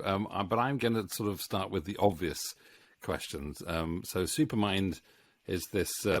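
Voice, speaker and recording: A middle-aged man hosting a casual interview in a jokey, halting way. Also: sits low, fairly bright tone, dry recording. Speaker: interviewer and voice actor. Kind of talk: casual chat. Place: small home room. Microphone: studio microphone on a boom arm.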